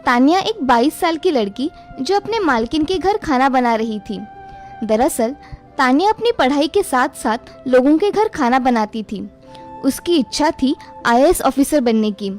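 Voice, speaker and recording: A woman narrating a scripted cartoon moral story in an even, explanatory way. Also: sits high, unhurried, faint music behind.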